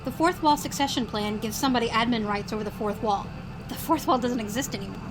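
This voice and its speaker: A woman playing a practical, pessimistic agent with a brisk, matter-of-fact manner. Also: a little high, lightly acted. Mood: blunt. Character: blunt, practical female agent, quick pessimist. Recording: vehicle noise behind voice.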